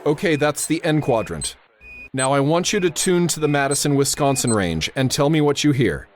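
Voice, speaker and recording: An actor playing a steady, grave radio operator who gives precise, authoritative instructions and narrates in the style of old-time radio drama. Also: male voice, a little high, moderate pace, a little hiss or hum, lightly acted. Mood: firm, instructing.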